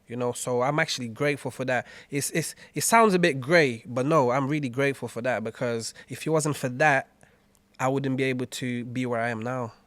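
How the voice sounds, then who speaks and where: A man from Angola talks calmly and thoughtfully as a podcast guest, dropping "you know" between his thoughts. His voice sits at an ordinary pitch. The sound is close and dry, with no room around it. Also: a little fast, no room sound. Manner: calm, reflective, frequent "you know". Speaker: guest rapper. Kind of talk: reflective podcast conversation.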